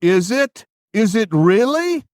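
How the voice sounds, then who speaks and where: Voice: mid-range voice, very animated, slow, no echo, clean studio sound. An actor playing an anxious, melodramatic history book in a theatrical puppet show, exclaiming with indignation. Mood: indignant, dismayed.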